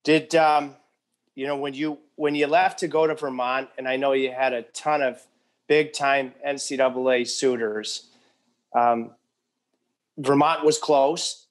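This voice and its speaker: A man's voice: mid-range voice, fairly even intonation, measured pace. A man in his forties hosting a casual interview, joking and asking rambling, friendly questions. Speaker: podcast host.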